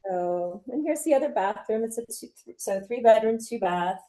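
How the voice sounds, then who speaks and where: A woman from New Jersey giving a casual home tour and interview, chatty and halting, laughing easily. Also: fairly high pitch, expressive, mellow. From New Jersey, USA. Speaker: interview guest and expat homeowner.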